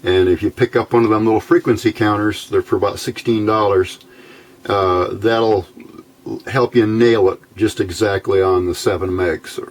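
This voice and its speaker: A man presenting an informal technical tutorial in a halting, easygoing, folksy way. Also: fairly low pitch, steady tone. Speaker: hobbyist presenter of electronics build videos.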